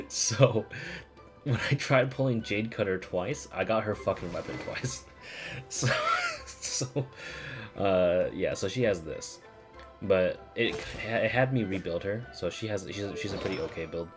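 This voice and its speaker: A man's voice: lowish voice, measured pace. A man giving casual, rambling game stream commentary in an informal, sweary way. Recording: music playing behind the voice. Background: game music.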